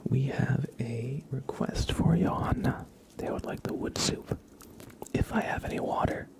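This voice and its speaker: A man hosting an ASMR livestream, giving card readings in a gentle, halting way as he thinks aloud. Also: mid-range voice, gravelly. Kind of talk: whispered ASMR, casual tarot card reading.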